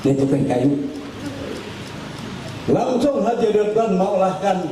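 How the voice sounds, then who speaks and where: An older man telling a life story at a religious gathering in an unhurried, folksy way with asides. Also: high voice, big pitch swings. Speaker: cleric telling his teacher's life story. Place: large hall at a religious gathering. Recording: echoey, distant mic, noisy hall.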